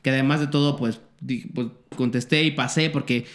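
A man co-hosting a casual podcast, animated and profane as he chats. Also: medium pitch, moderate pace. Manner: profane, animated, chiming in often. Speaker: podcast co-host. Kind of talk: casual crude banter.

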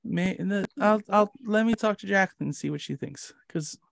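A man's voice: sits high, brisk, gravelly, no room sound. A man with a Canadian accent hosting a podcast in casual chat, rambling, jokey and self-deprecating. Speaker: podcast host.

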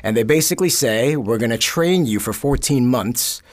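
A man, a podcast guest born in Korea and raised in the Bronx, telling his family story in a relaxed, measured way with wry asides. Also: medium pitch. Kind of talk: casual podcast conversation.

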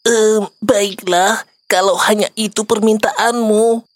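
A woman acting as a wooden doll come to life in a children's storybook. The voice is meek and hesitant, with drawn-out, slow speech and a dry, close sound. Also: medium pitch, fairly even intonation, dry recording, natural voice. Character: wooden doll come alive, confused, meekly obedient.

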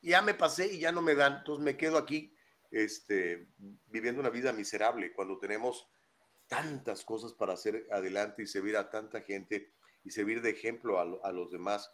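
A man's voice: fairly low pitch, normal speed, fairly bright tone. A man talking on a casual live news chat, stressing his points and repeating words for emphasis.

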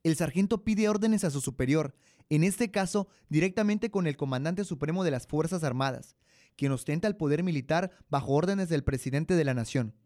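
A man's voice: on the high side, normal speed, a little mellow, no room sound, studio quality. A young man with a Mexican accent narrating a scripted radio drama. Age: young adult.

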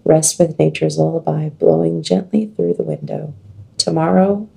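A woman with a scratchy, deep voice, chatting casually and slowly reading an oracle card aloud. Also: fairly even intonation, creaky, slightly rough. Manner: slow, dreamy reading with soft laughs.

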